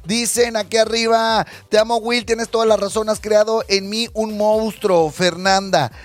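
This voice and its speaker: High-voiced playful man on radio. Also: fairly even intonation, a little slow, no echo. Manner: playful, campy, jokey announcer. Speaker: radio show co-host. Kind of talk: joking radio banter.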